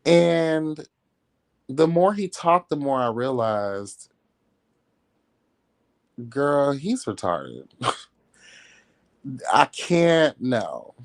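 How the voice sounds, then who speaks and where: A slow-talking man hosts a casual gossip commentary in a very dry, close recording. His pitch sits in the middle for a man. He is sassy and catty, putting things bluntly in slangy, conversational language. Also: big pitch swings, no echo. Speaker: livestream commentary host.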